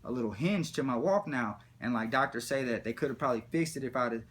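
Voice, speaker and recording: A man spins a comic story in casual, profanity-laced slang. He rambles and acts out moments as he goes. There is faint noise and room ambience behind his mid-pitched voice. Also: fast talker. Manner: rambling, slangy, animated retelling.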